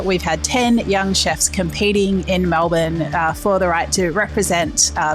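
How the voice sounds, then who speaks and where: A woman hosting a food podcast, introducing and questioning her guest enthusiastically. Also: lowish voice. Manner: enthusiastic, friendly, some fillers. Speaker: podcast host. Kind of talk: podcast interview.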